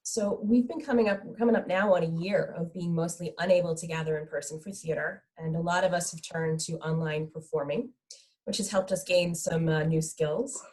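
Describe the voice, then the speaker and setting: Low-voiced woman moderating a talkback. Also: normal speed, a little mellow. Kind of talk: hosted panel discussion over video call.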